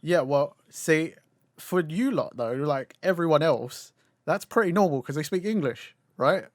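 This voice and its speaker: A man's voice: on the high side, expressive, unhurried, dry recording. Rambling young man, dry close mic. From Cantonese-speaking Chinese family. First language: Cantonese. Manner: rambling, swears casually, laughs mid-sentence. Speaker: podcast co-host. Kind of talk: casual chat.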